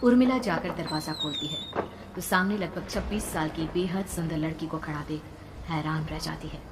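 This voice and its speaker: A woman's voice: low-pitched, moderate pace. A woman telling a horror story as dramatic scripted narration. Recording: long reverb, background noise.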